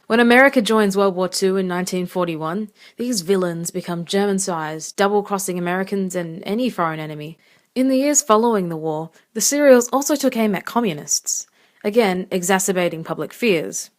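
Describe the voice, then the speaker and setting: A woman's voice: mid-range voice, expressive, a little fast. A young woman presenting a scripted analysis as a student narrator. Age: young adult. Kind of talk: scripted video essay narration.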